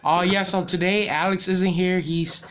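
A young man hosting a casual podcast, laid-back and joking, with a voice that is going hoarse. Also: high-pitched, steady tone, normal speed, a crowd in the background. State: losing his voice. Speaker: podcast host. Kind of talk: casual chat. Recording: noisy home recording.

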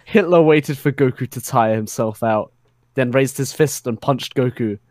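Male narrator, mid-range pitch, mock-serious storyteller for comedic fan fiction reading, dry recording. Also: moderate pace, a little mellow, no echo.

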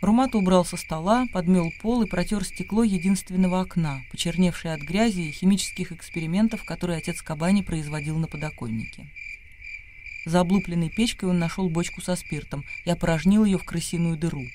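A man's voice: high-pitched, brisk, noisy background. A man narrating literary prose as the storyteller of a radio play.

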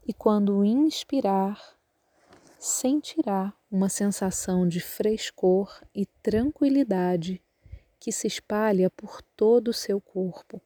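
A Brazilian woman leads a guided relaxation with calm, measured instructions. Her voice is soft-edged and mellow, and she speaks very slowly. The sound is close and dry, with little room around it. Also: medium pitch, dry recording. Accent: Brazilian Portuguese. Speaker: yoga nidra guide.

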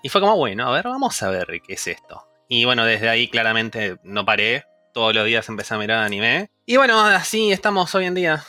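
A man's voice: mid-range voice, animated, brisk, hardly any pauses, bright, forceful tone, no room sound, soft background music. A man in his twenties with an Argentine accent, a language teacher joking around in a casual group chat. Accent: Argentine Spanish. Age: 20s. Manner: jokey, playful, rambling.

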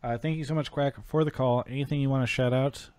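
A man's voice: medium pitch, fairly even intonation, fast, darkish tone, clear, resonant tone, dry recording. A man hosting an esports call-in show in a casual, chatty style.

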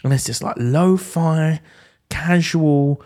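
A man's voice: on the high side, slow pace, a little mellow. A man in his thirties co-hosting a casual podcast, rambling and reminiscing in a chatty way. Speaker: podcast co-host. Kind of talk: casual chat.

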